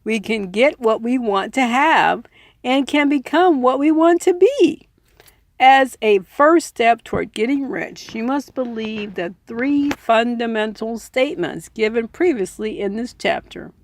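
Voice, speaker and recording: A woman's voice: a little high, lively intonation, measured pace. A woman reading a book aloud earnestly, in an informal audiobook style with personal asides. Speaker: reader and commentator.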